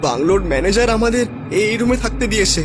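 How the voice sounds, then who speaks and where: A man's voice: sits high, expressive, brisk, music in the background, pitched above the performer's own voice. A man voicing a chatty young male friend in a cartoon ghost story, full of exclamations. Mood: nervous. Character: dismissive young male friend on a night trip.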